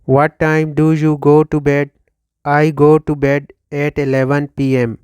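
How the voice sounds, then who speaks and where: A man in his thirties from Pakistan with a Pakistani accent and Urdu as his first language, teaching English by slowly reading questions and answers and repeating each one for learners. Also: a little high, little pitch movement, a little mellow, clear, resonant tone, dry recording. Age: 30s. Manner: slow, deliberate, repeats each line for learners. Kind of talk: slow scripted question-and-answer drill.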